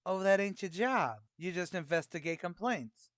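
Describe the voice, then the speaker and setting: Guy doing a slangy wisecracking tavern boss, pretty high voice, old radio comedy style, dry close sound. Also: lively intonation, moderate pace, fairly bright tone, no echo. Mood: wheedling. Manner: wisecracking, streetwise slangy talk.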